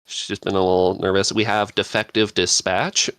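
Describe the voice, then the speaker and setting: A man chatting casually as a podcast panelist, excitable and self-deprecating in manner. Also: low voice, normal speed, clean tone, dry recording.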